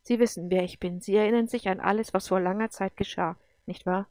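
Woman playing a soft, sorrowful devoted lover. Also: medium pitch, steady tone, brisk, no room sound, close to an everyday voice. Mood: earnest pleading. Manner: soft, earnest, emotional, often breathy. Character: sorrowful, guilt-ridden loving woman, earnest and devoted.

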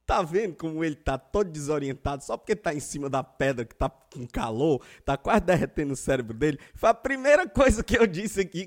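A man with a Northeastern Brazilian accent from Ceará, co-hosting a podcast in lively, teasing casual banter. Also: on the high side. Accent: Northeastern Brazilian Portuguese, Ceará. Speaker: podcast co-host.

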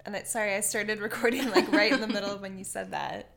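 A woman's voice: medium pitch, fast talker, vocal fry, a bit bright. A woman co-hosting a podcast in casual chat, with a profane and giggly manner. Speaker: podcast co-host. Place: living room.

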